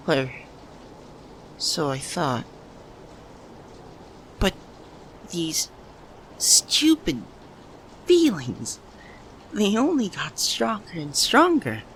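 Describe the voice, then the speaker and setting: A man acting a halting, lovesick young man. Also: high-pitched, vocal fry, fairly bright tone, slight background noise, full character voice, poor audio. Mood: wistful, tender, then anguished, frustrated. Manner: halting, breaks phrases with long pauses. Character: lovesick young man confessing to childhood best friend. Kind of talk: ASMR roleplay, emotional dramatic monologue.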